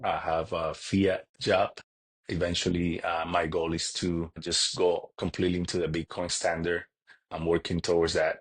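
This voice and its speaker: Male guest from Cuba, very low husky voice, earnest and halting. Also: flat delivery. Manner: earnest, halting with frequent restarts. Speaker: podcast guest. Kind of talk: casual interview talk.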